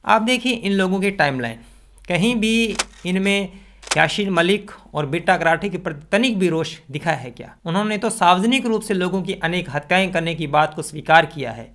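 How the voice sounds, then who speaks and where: Young guy, kinda high voice, arguing his opinion into a close desk mic, punchy delivery. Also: lively intonation, moderate pace, a bit bright, a little hiss or hum. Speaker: video commentator. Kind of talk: scripted opinion commentary to camera. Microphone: desk condenser microphone, close.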